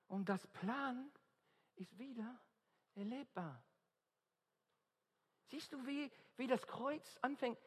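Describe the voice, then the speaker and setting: A man's voice: very high voice, breathy edge. A man with an Australian accent preaching an earnest, emphatic sermon in German, often searching for his words. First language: English. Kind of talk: evangelistic sermon. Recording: long reverb of a hall.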